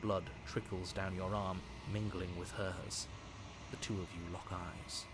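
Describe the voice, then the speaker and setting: A man acting in a horror visual novel, delivering dramatic, deliberate scripted lines in literary phrasing. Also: deep voice, little pitch movement, noisy background. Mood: grim, solemn. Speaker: game narrator. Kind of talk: dramatic scripted game narration.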